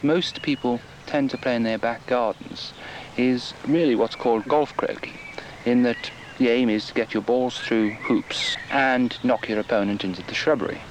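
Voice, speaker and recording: A man speaking as a croquet expert on a radio show, explaining in a measured, matter-of-fact way. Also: medium pitch, fairly even intonation. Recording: dull, lo-fi archive radio with faint hiss.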